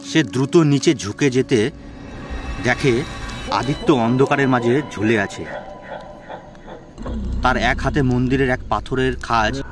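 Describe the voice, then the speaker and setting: A man's voice: medium pitch, measured pace, long pauses. A man telling a horror story as a dramatic, scripted narration. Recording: music bed behind the voice.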